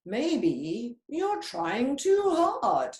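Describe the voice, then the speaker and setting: A woman's voice: sits high, huge pitch swings, drawn-out words, a little mellow, pitched above the performer's own voice, full character voice. A woman voicing a playful, teasing male jaguar in a storybook reading, drawing out his words. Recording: echoey room.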